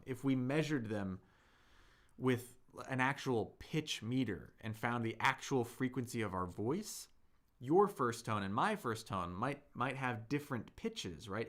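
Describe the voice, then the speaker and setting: A man hosts a live Chinese lesson at an unhurried pace, speaking in a mid-range voice. He explains each tone patiently and says the words aloud as examples. He also reads viewers' chat and replies to it. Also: medium pitch, fairly bright tone. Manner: patient, explains slowly, models tones. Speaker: Chinese teacher. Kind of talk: live-streamed language lesson.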